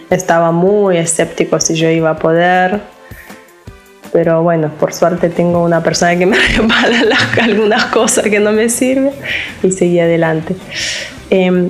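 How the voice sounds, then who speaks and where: Woman with a Lithuanian accent, interviewee, restarts often, lowish voice. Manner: halting, searching for words, frequent restarts. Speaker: psychologist and interviewee. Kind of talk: casual interview talk.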